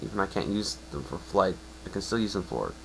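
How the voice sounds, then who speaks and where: A man voicing a guarded, wry winged pony, resigned and gently teasing, in an amateur fan fiction reading. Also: low-pitched, animated, fast talker, vocal fry, a little hiss or hum, poor audio. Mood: reassuring.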